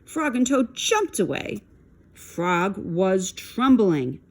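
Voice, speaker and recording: A woman reading a storybook aloud to children in an expressive style. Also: mid-range voice, takes it slowly.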